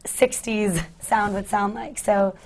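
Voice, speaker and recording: A woman speaking as an actress on a panel, with a low, husky, raspy voice. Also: fairly even intonation, takes it slowly. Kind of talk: panel discussion.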